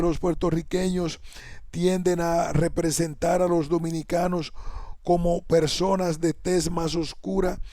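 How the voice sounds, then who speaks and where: Slow, flat-voiced man reading aloud. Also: fairly high pitch, no room sound, clean studio sound. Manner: measured, even reading with occasional self-corrections. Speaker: essay reader. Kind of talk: reading an academic essay aloud.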